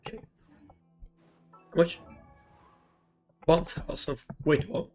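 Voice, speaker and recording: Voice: medium pitch, little pitch movement, takes it slowly, darkish tone, faint music behind. A game streamer muttering casual, offhand reactions to themselves during a playthrough. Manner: offhand, sparse, self-talk.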